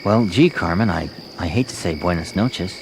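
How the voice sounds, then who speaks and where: Goofy smitten fellow, swooping voice. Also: male voice, low voice, lively intonation, normal speed, creaky. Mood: bashful, flirting. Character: bashful, smitten, goofy male crab eater. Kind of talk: comic radio play acting. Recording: noisy night ambience with insects.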